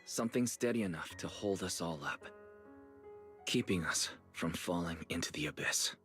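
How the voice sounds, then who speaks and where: A man voicing a calm, thoughtful storyteller, giving reflective, measured video game narration. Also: low voice, faint music behind. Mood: reflective, hopeful. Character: calm, thoughtful male storyteller closing a heroic tale.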